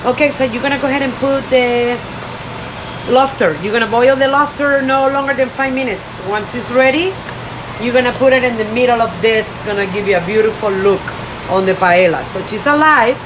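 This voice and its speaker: A woman's voice: a little high, brisk. A woman in her thirties from Venezuela with a Venezuelan Spanish accent, chatting upbeat with viewers while cooking in her small home kitchen. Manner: upbeat, talks straight to viewers, "guys". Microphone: camera across the kitchen. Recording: echoey kitchen, voice from far off, hum behind.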